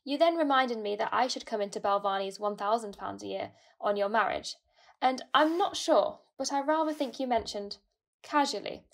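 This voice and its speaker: A woman's voice: a little high, moderate pace, gravelly. A woman playing a genteel, scheming Victorian aunt in her fifties, mannered and effusive, in a farcical radio play. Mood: soothing.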